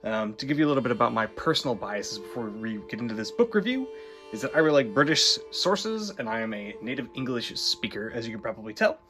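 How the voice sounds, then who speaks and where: A man giving a casual spoken book review, relaxed and chatty with lots of ums and little laughs. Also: mid-range voice, fast talker, music in the background. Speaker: book reviewer and swordsmanship instructor.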